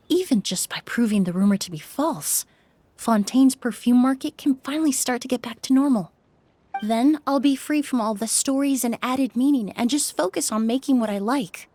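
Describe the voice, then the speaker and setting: A woman's voice: on the high side, a little mellow, slight background noise, lightly acted. Woman playing a poised, earnest perfumer. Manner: measured, articulate, explanatory. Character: refined young woman perfumer, calm and earnest. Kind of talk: game voice acting.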